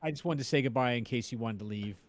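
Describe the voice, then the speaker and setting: A man's voice: medium pitch, fast talker. Affable male host, mid-range voice. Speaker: stream host. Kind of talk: casual chat.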